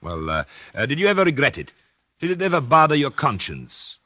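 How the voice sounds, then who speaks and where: An actor in a 1940s radio drama playing an educated, scheming doctor and unhappy husband, with polite, hesitant, formal diction. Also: male voice, a little high, huge pitch swings, a little fast, pushed and bright, no echo, studio quality, heavily acted. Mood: probing, measured. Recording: old radio recording with music.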